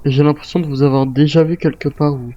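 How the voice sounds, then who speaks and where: A man's voice: fairly high pitch, fairly even intonation, a little fast, no room sound, muffled recording. A man speaking in improvised game roleplay, commanding and suspicious in manner. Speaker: gamer in a zombie survival roleplay.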